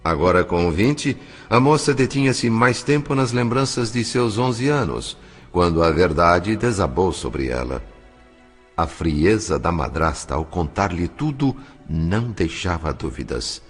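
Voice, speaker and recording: A man's voice: low voice, animated, a little slow, slightly rough. A man with a Brazilian accent reading scripted dramatic narration as the narrator of a story. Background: background music.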